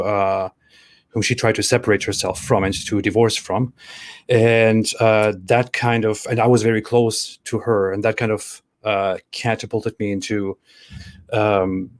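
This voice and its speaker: A man from Germany, a guest on a video call interview, telling his story in a measured, hesitant way with filler "um"s. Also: on the low side, no room sound.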